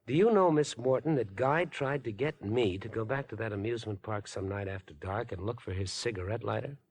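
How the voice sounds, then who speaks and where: An actor playing a sly young villain in 1950s radio drama, politely insinuating, with hesitant feigned concern. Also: male voice, fairly low pitch, brisk, close to an everyday voice. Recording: vintage 1950s radio broadcast.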